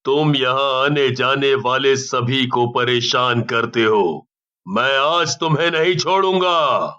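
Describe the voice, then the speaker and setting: Guy doing a ghost soldier for a horror cartoon, slow n commanding, kinda muffled audio. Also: mid-range voice, pushed and bright, clear, resonant tone, voice pushed down. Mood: menacing. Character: ghost soldier, menacing to bandits, protective of innocents.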